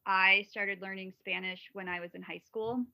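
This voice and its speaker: A woman's voice: mid-range voice, steady tone, normal speed, creaky, sharp tone, no echo. A woman from Wisconsin, a podcast guest and mother of three, talking at length in a casual interview, rambling with many fillers and restarts. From Wisconsin, USA. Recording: dull lo-fi sound.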